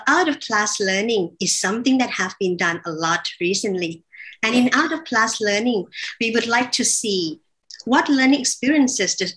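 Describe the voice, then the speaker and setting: A woman from Thailand speaking as an associate professor on a webinar panel, halting and correcting herself often as she explains. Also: medium pitch, pushed and bright, rough recording. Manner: halting, with frequent fillers and self-corrections. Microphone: video call.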